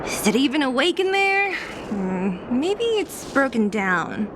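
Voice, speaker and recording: A woman playing a wry, alert young girl companion in a game, with an expressive delivery. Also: sits high, takes it slowly, noisy background, full character voice. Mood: curious. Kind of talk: scripted game cutscene dialogue.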